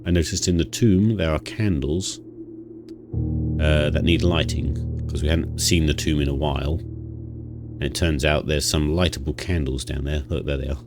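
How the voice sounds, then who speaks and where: Super deep guy with a stuffy cold, musing aloud through a game, music playing under him. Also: steady tone, moderate pace. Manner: rambling, thinking aloud with restarts. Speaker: gaming channel host. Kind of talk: casual let's-play commentary. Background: new-age music.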